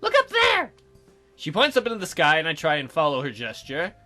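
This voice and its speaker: A man's voice: on the high side, sing-song, fast talker, bright, forceful tone, soft background music. A man hosting a let's play, reading a visual novel aloud with joking, mock-incredulous asides. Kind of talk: casual game commentary and reading aloud.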